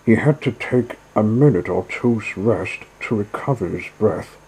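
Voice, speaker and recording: A man's voice: lowish voice, unhurried, a little mellow, breathy edge, low-quality recording. A man reading a classic children's story aloud as a scripted narration.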